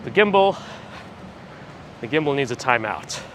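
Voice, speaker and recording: Slightly gravelly man walking city streets. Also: mid-range voice, measured pace. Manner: relaxed, chatty, dry asides. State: a little phlegmy, gravelly. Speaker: livestream host. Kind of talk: casual live-stream chat reading viewer comments. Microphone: handheld gimbal camera rig with recorder. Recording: street noise behind the voice. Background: traffic and city ambience.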